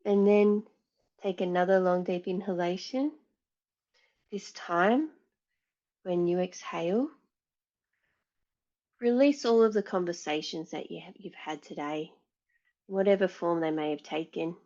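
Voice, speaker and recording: Slow, low-voiced woman guiding meditation. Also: mellow, dull audio. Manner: measured, soothing, with pauses between phrases. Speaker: yoga teacher. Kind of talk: guided meditation and yoga instruction.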